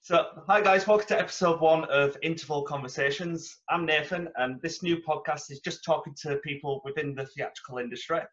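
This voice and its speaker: A man's voice: a little high, brisk, hardly any pauses, fairly bright tone, muffled recording. A man hosting a podcast, chatting informally and a little hesitantly with guests. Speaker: podcast host. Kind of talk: casual interview chat.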